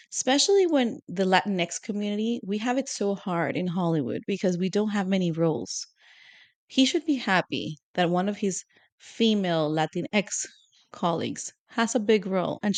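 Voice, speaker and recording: A woman's voice: mid-range voice, dry recording. A woman co-hosting a podcast in casual, opinionated chat, often asking "you know?". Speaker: podcast co-host.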